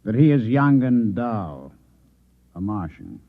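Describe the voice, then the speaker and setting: Coolly menacing Martian infiltrator, echoey old radio. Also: male voice, fairly low pitch, big pitch swings, slow pace, full character voice. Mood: cool menace. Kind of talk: old-time radio drama acting. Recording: echoey, phone-like old radio drama recording.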